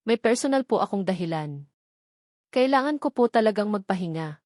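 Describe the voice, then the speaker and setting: A woman voicing a tired, devoted young single mother in a narrated story, soft and plain-spoken. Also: medium pitch, expressive, fairly quick, no echo, clean studio sound, lightly acted. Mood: reserved.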